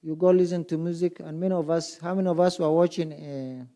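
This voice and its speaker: A man from Kenya speaks at an education symposium, his voice pitched a little high with a warm, mellow tone. He moderates the session by firing rhetorical questions at the audience. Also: fairly even intonation, a little fast. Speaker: conference moderator. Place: conference hall.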